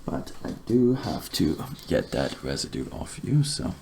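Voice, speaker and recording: Hushed, very creaky ASMR barber murmur. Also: very deep voice, sing-song, measured pace, a little hiss or hum. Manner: hushed, breathy, often slipping into whisper; courteous, soothing, slightly formal phrasing. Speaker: ASMR creator playing a barber. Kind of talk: ASMR roleplay, soft-spoken personal attention.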